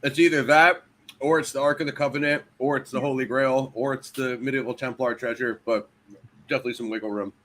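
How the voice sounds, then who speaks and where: A man's voice: mid-range voice, fairly even intonation, quick pace, fairly bright tone, a little hiss or hum. A man chatting as a guest on a video call, enthusiastic and listing ideas. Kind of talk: casual chat.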